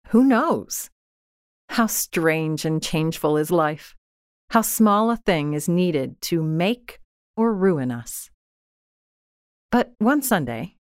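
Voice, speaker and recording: A woman narrating a literary short story in a measured, deliberate, expressive way. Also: fairly low pitch, dry recording, studio quality. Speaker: audiobook narrator.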